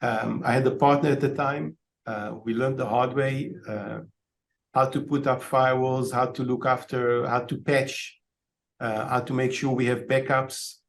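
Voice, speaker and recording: A man's voice: mid-range voice, flat delivery, measured pace, vocal fry. Israeli-born man telling stories on video call. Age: 50s. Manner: storytelling, frequent ums and restarts. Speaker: guest, IT company owner. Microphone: laptop webcam on video call.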